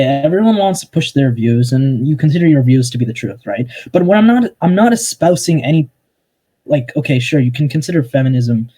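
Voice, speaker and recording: A young man arguing his point in an online voice-chat debate, halting and restarting, often cutting in with "hang on". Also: fairly high pitch, mellow, breathy edge, low-quality recording. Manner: hesitant, restarts a lot, interrupts with "hang on".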